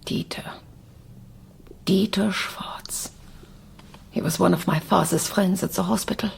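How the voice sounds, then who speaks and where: A woman's voice: on the low side, steady tone, a little slow, gravelly, whispered. An actress playing a reserved German woman, speaking tersely and carefully in a radio drama. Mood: wistful, guarded. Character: guarded, reserved German cellist recalling her parents. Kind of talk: naturalistic radio drama acting.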